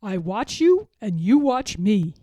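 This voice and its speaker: A woman's voice: mid-range voice, sing-song, slow, mellow, no echo. A woman voicing a kindly, worried peddler man from a children's storybook, musing thoughtfully with emphatic phrasing. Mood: firm. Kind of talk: children's storybook read-aloud.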